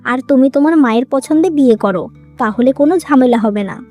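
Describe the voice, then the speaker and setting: A modern young cartoon girlfriend acted by a woman, blunt and sure of what she wants. Her voice is clearly high, with soft music running behind it. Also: fast. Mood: demanding. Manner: assertive, questioning. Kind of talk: dramatic cartoon dialogue.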